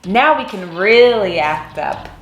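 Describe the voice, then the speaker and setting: A woman voicing a class of rowdy, excitable schoolchildren who exclaim their lines. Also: medium pitch, takes it slowly, echoey room, poor audio. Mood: gleeful.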